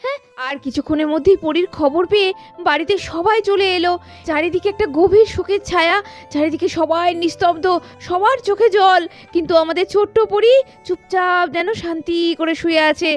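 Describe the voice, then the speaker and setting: Casting: female narrator for a dramatic doll tale, high voice, music bed. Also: fairly quick.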